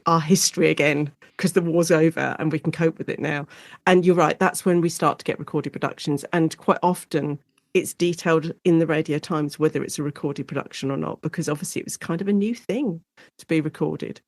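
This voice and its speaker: A British woman speaking as an expert guest in a conversational interview, explaining history in an informal, animated storytelling way. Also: low-pitched, no echo. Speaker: guest expert, lecturer and author on Shakespeare in radio.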